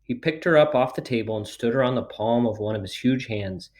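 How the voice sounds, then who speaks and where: A man's voice: mid-range voice, a little fast, a little mellow. A man narrating a children's storybook, reading it aloud. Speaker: storybook reader. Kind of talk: scripted narration, children's book read aloud.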